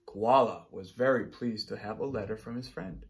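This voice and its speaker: A man in his thirties, a teacher reading a picture book aloud to young children in an encouraging, animated way. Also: lowish voice, normal speed. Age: 30s. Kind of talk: children's storybook read-aloud.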